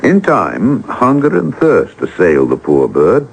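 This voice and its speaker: An actor playing a reflective older gentleman, once a starving artist, telling his tale in a deliberate, theatrical way for a vintage radio drama. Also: lowish voice, huge pitch swings, vocal fry, slightly rough, heavily acted, rough recording. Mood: reflective, mournful. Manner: deliberate, theatrical, pausing for effect.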